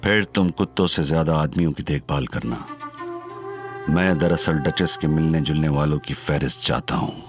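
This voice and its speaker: An actor playing a stern, menacing crime boss in an audio drama, deep and commanding. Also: male voice, moderate pace, faint music behind. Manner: stern, threatening, scornful. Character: menacing Chinese crime boss, older man.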